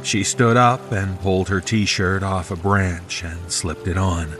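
A man's voice: deep voice, steady tone, a little slow, over music. A man reading a scripted first-person horror story as its narrator.

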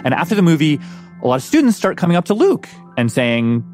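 A man narrating a documentary story in a conversational way, often halting and repeating words as he goes. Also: a little high, wildly swooping pitch, darkish tone. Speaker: radio documentary host and reporter. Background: music.

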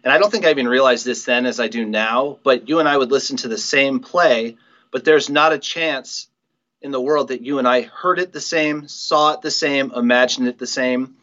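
A man's voice: mid-range voice, normal speed, fairly bright tone. A slightly dull, lo-fi recording of a middle-aged man with a mid-range voice. He talks casually as an interview guest, thinking things through and qualifying his points as he goes. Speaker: guest, audio play writer and director.

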